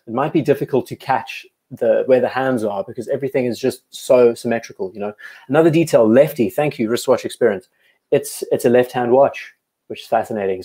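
Man, live-stream host, casual rambling commentary, mellow warm tone, self-correcting. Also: medium pitch, breathy edge. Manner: chatty, thinking aloud, self-correcting. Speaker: watch show host.

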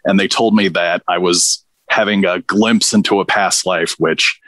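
A man's voice: deep voice, steady tone, a little slow, fairly bright tone, no echo. A man from Pakistan, a podcast guest, telling stories in a reflective way with dry humor. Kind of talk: relaxed interview conversation.

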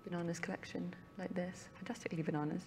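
A woman hosting a studio panel discussion, leading the guests with quick questions. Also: fairly low pitch, level tone, big-room sound, slight background noise. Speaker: panel host.

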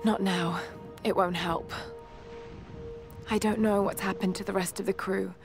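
Young British female castaway archaeologist played by a woman for a game diary: anxious yet determined, hesitant, creaky, music behind. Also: mid-range voice, fairly even intonation, unhurried, natural voice. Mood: anxious, shaken. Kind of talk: intimate video game audio journal.

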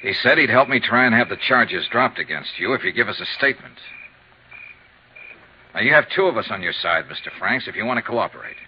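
A man's voice: fairly low pitch, animated, a little fast, a little hiss or hum, close to an everyday voice. An American actor playing a tough, matter-of-fact insurance investigator who narrates his case in a 1950s radio drama. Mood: calm, steady. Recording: muffled 1950s radio recording with music.

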